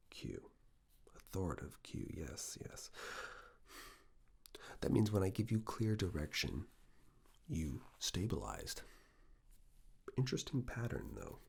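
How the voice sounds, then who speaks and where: Very deep, breathy man acting as a stern assessor. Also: exaggerated voice. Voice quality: breathy, gravelly. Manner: hushed, clipped commands, often slipping into whispers. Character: stern, controlling yet reassuring male fitness assessor. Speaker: ASMR performer. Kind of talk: ASMR personal attention roleplay.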